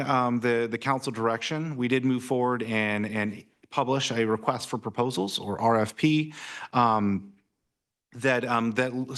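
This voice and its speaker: A man from city staff presenting a report at a council meeting, halting with many fillers and restarts. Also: mid-range voice, fairly bright tone.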